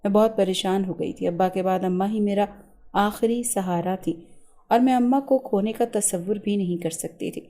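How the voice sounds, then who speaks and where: A woman narrates a scripted, emotional story in the first person. Her voice sits at a middle pitch for a woman and has a warm, mellow, relaxed tone. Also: moderate pace.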